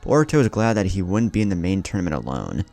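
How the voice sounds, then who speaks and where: Deep, gravelly man narrating dramatically. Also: very animated, fast, mellow, no room sound, soft background music. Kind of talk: dramatic scripted narration of fan fiction.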